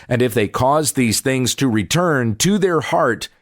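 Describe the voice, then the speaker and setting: A man reading the Bible aloud as a pastor, with solemn, measured phrasing. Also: medium pitch, lively intonation, no echo, studio quality.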